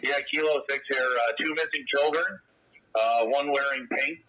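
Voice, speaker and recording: A man's voice: medium pitch, fairly even intonation, moderate pace, sharp tone, poor audio. A male police officer giving a terse report over a two-way radio.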